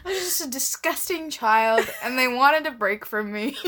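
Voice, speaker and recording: A woman's voice: sits high, expressive, a little slow, pushed and bright. A woman who grew up in Dubai, co-hosting a casual podcast, wry and self-deprecating, swearing casually. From grew up in Dubai, UAE. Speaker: podcast co-host.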